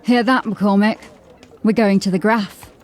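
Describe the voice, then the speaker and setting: Male actor as a keen, cocky young watchman, loud and eager, audio drama. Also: sits high, fairly even intonation, a little slow. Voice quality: eager and brash.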